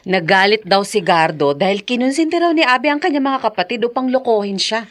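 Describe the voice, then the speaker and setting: A woman's voice: a little high, expressive, fairly quick, close to an everyday voice. An actress playing a caring, gossipy neighbour woman in a radio drama, speaking expressively and coaxingly. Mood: concerned gossip. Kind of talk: Tagalog radio drama acting.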